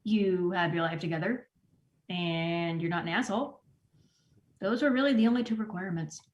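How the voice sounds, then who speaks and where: A woman playing a frazzled, disorganized store manager in a comedy table read, halting and hedging. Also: on the low side, lo-fi sound, lightly acted. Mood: tentative, dry. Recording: roomy echo.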